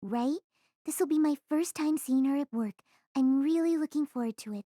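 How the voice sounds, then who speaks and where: A woman's voice: sits high, normal speed, dark tone, dry recording, clean studio sound, lightly acted. A woman playing a cheeky, scheming teenage schoolgirl in an audio drama, breezy and teasing. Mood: cheerful. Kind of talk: anime-style audio drama acting.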